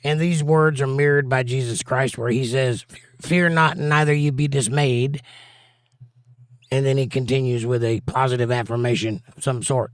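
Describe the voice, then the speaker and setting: A man's voice: mid-range voice, steady tone, moderate pace, no echo, studio quality. Joking man hosting a chat stream. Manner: jokey, reads viewer comments aloud, breaks into silly bits. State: slightly hoarse and scratchy. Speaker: livestream host reading chat. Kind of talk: casual livestream chat.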